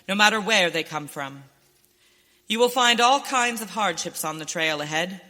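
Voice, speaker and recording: Bright, high-voiced noble western hero. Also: male voice, long reverb tail, heavily acted. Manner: declamatory, formal, inspirational. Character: noble masked western hero, earnest and stirring. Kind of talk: western radio drama acting.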